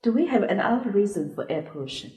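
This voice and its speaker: A woman hosting a student discussion and asking the group measured questions. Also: medium pitch, sing-song, dark tone. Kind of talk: scripted discussion. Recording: echoey room.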